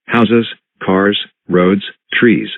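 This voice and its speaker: A man playing a solemn, official emergency alert announcer, reading formal, measured scripted notices. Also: fairly low pitch, fairly even intonation, dry recording. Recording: muffled lo-fi broadcast audio.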